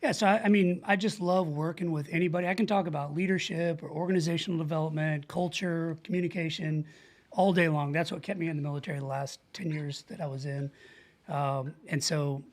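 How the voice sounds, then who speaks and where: A man's voice: a little high, fairly even intonation, a little fast. A middle-aged American man speaking earnestly and informally as a coach being interviewed, often illustrating with examples. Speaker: podcast guest, leadership coach. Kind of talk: casual conversational interview.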